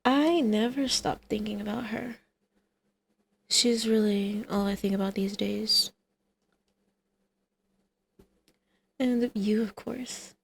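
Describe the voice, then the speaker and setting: A woman playing a teasing, flirtatious villainess in an intimate ASMR roleplay, with a creaky voice that often drops to a breathy whisper. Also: medium pitch, steady tone, measured pace, a little hiss or hum. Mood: tender.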